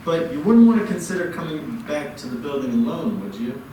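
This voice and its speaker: An actor playing a calm, kindly middle-aged father who runs a small loan office. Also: on the high side, a little fast. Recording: echoey room, far from mic, noisy.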